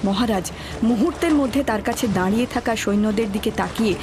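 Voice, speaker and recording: A woman's voice: mid-range voice, fast talker, noisy background. A woman giving scripted, dramatic narration for a story.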